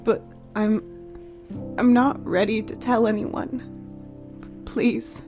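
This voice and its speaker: A woman's voice: on the high side, slow, mellow, pitched above the performer's own voice. A woman voicing a shy, sensitive boy in a storybook, speaking hesitantly and with emotion. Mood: tearful, pleading. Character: shy, tearful boy discovering a hidden girl within. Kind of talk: dramatic audiobook character dialogue. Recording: music behind the voice.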